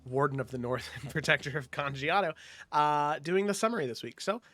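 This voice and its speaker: A man with a congested, slightly nasal voice, opening a tabletop game session as game master in a relaxed way, with many ums. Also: on the high side, a little fast. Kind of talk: casual show opening.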